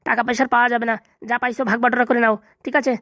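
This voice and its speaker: A man's voice: very high-pitched, little pitch movement, racing, bright, forceful tone, no room sound, clean studio sound. A man voicing a vengeful, bossy corrupt local politician in a comedy cartoon, boastful and insistent. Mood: bossy, scheming. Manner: bossy, boastful, repeatedly checking he is understood.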